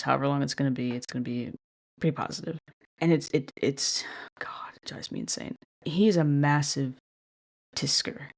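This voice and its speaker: A woman hosting her own podcast in casual solo commentary, talking in a chatty, self-deprecating way with a deliberately monotone delivery and some mild swearing. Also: a bass voice, vocal fry. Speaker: podcast host.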